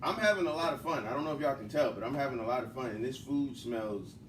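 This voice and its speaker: A man's voice: mid-range voice, quick pace, recorded from a distance. A man helping out on a casual cooking show, answering with playful, enthusiastic short remarks. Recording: echoey kitchen, off mic, noisy.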